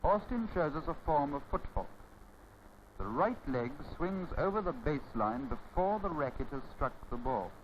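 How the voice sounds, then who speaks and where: A man narrating a 1930s instructional film from a script, formal and didactic, with measured phrasing. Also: on the high side, sing-song. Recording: scratchy, muffled 1930s film soundtrack.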